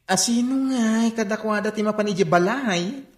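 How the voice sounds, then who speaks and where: A woman's voice: medium pitch, normal speed, reverberant, natural voice. A woman playing a doubtful, anxious young person in a radio drama.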